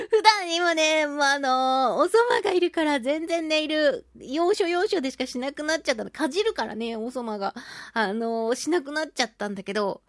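A woman hosting a solo radio talk show, chatting and reading out listener mail with animated, playful reactions. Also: high-pitched, normal speed, dry recording, clean studio sound. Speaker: radio show host, voice actress.

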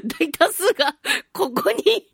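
A woman hosting a solo radio talk show in a casual, playful and theatrical way, giggly and swooping between exclamations and low asides, close on a studio microphone. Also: big pitch swings, takes it slowly, vocal fry, no room sound. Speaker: radio show host, voice actor.

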